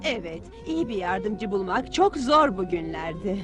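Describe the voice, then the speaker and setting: A woman's voice: mid-range voice, big pitch swings, unhurried, a bit bright, faint music behind. A woman playing a genteel, nervous society lady in a dubbed cartoon. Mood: concerned.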